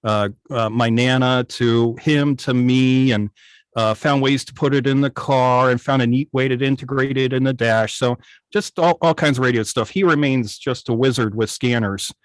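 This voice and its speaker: A middle-aged man casually reminiscing as a guest on a video call, speaking in a halting, thoughtful way. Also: medium pitch, steady tone, clean tone, no echo. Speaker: guest, radio hobbyist. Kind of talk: casual reminiscing in an online club interview.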